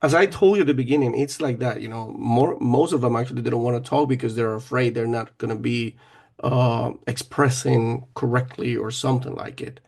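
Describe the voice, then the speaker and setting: Gravelly-voiced man, hesitant speech. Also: medium pitch, a little fast, darkish tone. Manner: hesitant, with frequent restarts. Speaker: teacher of children. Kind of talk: casual conversation.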